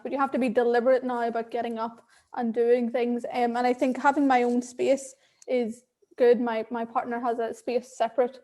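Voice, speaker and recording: A woman's voice: a little high, warm tone. A woman with an Irish accent, a guest speaking candidly on a video call, laughing often and repeating words as she thinks. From Ireland. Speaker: interview guest. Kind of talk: casual video-call conversation.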